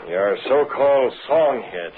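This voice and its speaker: A man's voice: medium pitch, lively intonation, measured pace, lightly acted. A man playing a commanding, threatening masked vigilante in an old radio crime drama, giving clipped orders. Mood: coolly taunting. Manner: forceful, menacing, clipped commands. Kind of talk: 1940s radio acting. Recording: noisy, tinny old radio recording.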